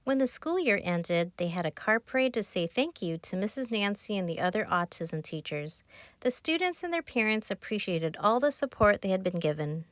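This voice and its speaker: A woman narrating a children's picture book, reading aloud in simple, clear phrases for young listeners. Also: mid-range voice, very animated, moderate pace, a bit bright, no room sound. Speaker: author narrating her own children's book. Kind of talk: scripted children's storybook narration.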